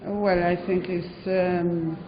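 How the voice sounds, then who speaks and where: A Spanish-accented woman head teacher answers questions in halting English, pausing often on fillers. Her voice rings out in a large echoing hall, with a dull lo-fi sound and faint background noise. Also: on the low side, level tone. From Spain. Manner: hesitant, many fillers. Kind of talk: interview answers in non-native English.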